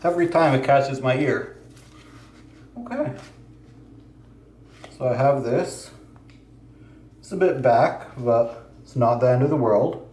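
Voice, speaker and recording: A man's voice: mid-range voice, slow pace, pauses often, a little mellow. A man vlogging casually, thinking aloud as he fiddles with new gear. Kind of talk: casual how-to vlog. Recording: echoey room.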